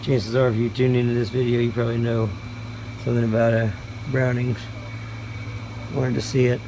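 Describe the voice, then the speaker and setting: Man, creaky voice, halting, folksy, casual presenter, noisy room with steady hum. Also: mid-range voice. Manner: hesitant, halting, folksy delivery. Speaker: YouTube hobbyist presenter. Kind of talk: casual show-and-tell.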